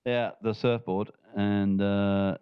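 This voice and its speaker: A man's voice: on the low side, level tone, slow pace, clean tone, no echo, narrow, tinny audio. A man from Norfolk in England who hosts a podcast, teasing and swearing in casual chat. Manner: swearing, teasing, laughing often. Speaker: podcast host.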